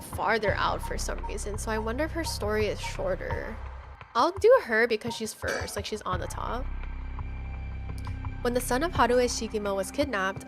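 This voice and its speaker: Casting: female game streamer, on the high side, excitable and slangy, reads on-screen text and chats, clear background music. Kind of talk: casual game-stream chat mixed with reading game text aloud.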